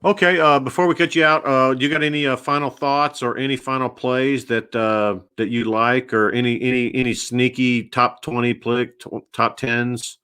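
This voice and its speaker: A man hosting a casual interview, talking haltingly with lots of fillers and restarts. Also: medium pitch, steady tone, sharp tone, clean tone, no echo, clean studio sound. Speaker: show host.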